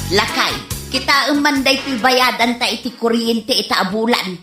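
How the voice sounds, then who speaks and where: A woman's voice: fairly high pitch, moderate pace, over music, natural voice. A woman playing a fussy, scolding older mother in an Ilocano radio comedy drama, with an Ilocano accent. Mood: exasperated. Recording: roomy, echoing sound.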